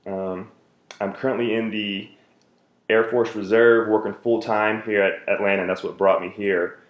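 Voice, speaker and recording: A man's voice: on the low side, little pitch movement, normal speed, roomy sound. A man in his thirties, from the United States, giving an earnest self-introduction speech for a class, with many fillers and restarts.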